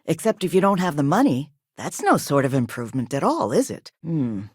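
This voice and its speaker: A woman voicing a composed, businesslike company owner in a video game, with a polite, measured and formal manner. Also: sits low, sing-song, a little mellow, slightly rough, no room sound, studio quality, full character voice. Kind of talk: video game dialogue acting.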